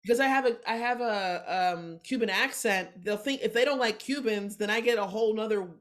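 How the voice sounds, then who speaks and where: A woman's voice: a little high, brisk. A woman of forty, a comedian guest, telling stories in a casual podcast chat and acting out the voices of people she quotes. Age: 40s. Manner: animated storytelling, acts out quoted voices.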